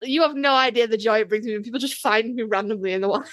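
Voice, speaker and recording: A woman's voice: fairly high pitch, quick pace, fairly bright tone, dry recording. A woman co-hosting a podcast in casual, candid chat, wry and sweary, acting out little dialogues with herself. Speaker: podcast co-host.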